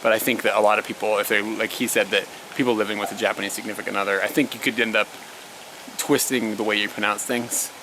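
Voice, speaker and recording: A man's voice: fairly low pitch, little pitch movement, fast, noisy background. A young man chatting casually to his viewers and answering their questions, a storyteller who fills his talk with "like" and laughs often. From United States. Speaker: vlogger, elementary school teacher.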